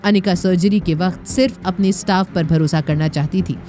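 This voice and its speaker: A woman's voice: lowish voice, normal speed, mellow, slight background noise. A woman reading scripted, dramatic story narration for an audio series. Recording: music bed under narration. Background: dramatic background music.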